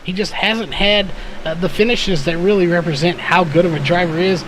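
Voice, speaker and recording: A man doing live race commentary in a conversational, analytical way, his voice a little hoarse. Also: sits high, moderate pace, background noise. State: slightly hoarse, losing his voice. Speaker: sim or short-track race play-by-play commentator.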